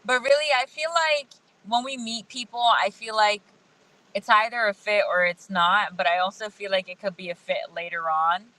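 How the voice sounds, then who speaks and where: A woman's voice: mid-range voice, moderate pace, bright, forceful tone, poor audio. A woman whose first language is Hindi talks candidly and thoughtfully as a guest, laughing often, from outdoors in the rain. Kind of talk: casual video-call conversation.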